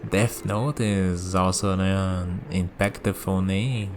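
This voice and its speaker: A man playing a calm, curious villain in a scripted ASMR roleplay, musing and dryly teasing as he talks. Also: sits low, slow pace, background noise. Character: calm, curious young male villain bargaining with a death god.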